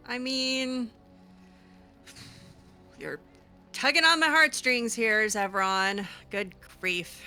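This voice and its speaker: A woman roleplays aloud over a video game in a bright voice. She speaks slowly and hesitantly, often trailing off, with music playing behind her. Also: on the high side, fairly even intonation. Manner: hesitant, conversational, frequent trailing off.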